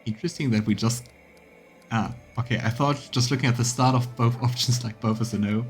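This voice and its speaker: A man narrates a video game in a medium-pitched voice, moving between storybook reading and casual commentary. Faint music plays behind him. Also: fairly quick. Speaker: streamer narrating a let's play. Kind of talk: reading game text aloud with casual asides.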